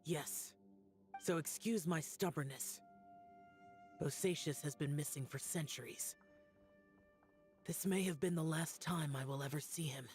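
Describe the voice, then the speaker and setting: A man's voice: on the high side, a little slow, pauses often, close to an everyday voice. Man playing a stoic, burdened young immortal warrior. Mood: resolute, sombre. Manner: solemn, terse, formal. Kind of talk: video game voice acting. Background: game music.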